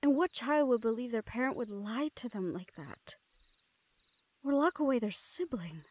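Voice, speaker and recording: A woman playing a guarded but tender young thief in an intimate roleplay audio drama, speaking in a hushed, hesitant way. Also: a little high, expressive, moderate pace, no room sound. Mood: earnest, consoling.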